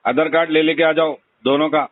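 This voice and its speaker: Man, Hyderabadi accent, slightly high pitch, blunt, insistent, casual talk, thin phone-line recording. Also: flat delivery, quick pace, no room sound. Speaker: phone call recipient. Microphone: telephone. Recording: tinny narrowband phone call recording.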